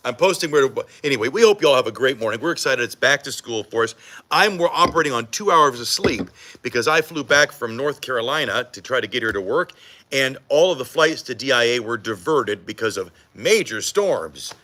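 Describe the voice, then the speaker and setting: A man's voice: medium pitch, animated, fairly quick, pushed and bright. A man co-hosting a live morning TV show from the studio, joking and teasing with a self-mocking manner. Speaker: morning TV news co-host. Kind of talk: live behind-the-scenes morning show banter. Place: TV studio during a live broadcast.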